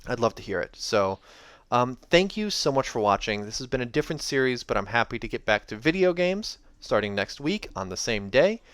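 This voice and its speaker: A hoarse man reading a novel aloud and chatting casually between passages, with joking asides and many fillers. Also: mid-range voice, sing-song, fairly quick, hardly any pauses, no room sound. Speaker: reader and channel host. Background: soft game music.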